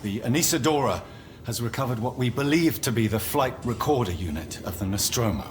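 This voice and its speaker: An actor playing a calm corporate company man, speaking in a measured, persuasive way in a video game cutscene. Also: medium pitch, background noise, lightly acted. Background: music.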